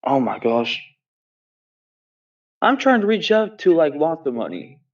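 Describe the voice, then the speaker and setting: Curious friend on the call, muffled and far from the mic. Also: male voice, on the high side, huge pitch swings, a little slow. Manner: curious, asks lots of questions, repeats himself. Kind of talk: casual chat.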